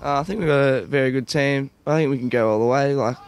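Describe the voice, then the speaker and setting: A young man with an Australian accent, an athlete giving plain, halting answers in a casual interview. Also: mid-range voice, a little hiss or hum. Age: young adult. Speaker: junior Australian rules footballer.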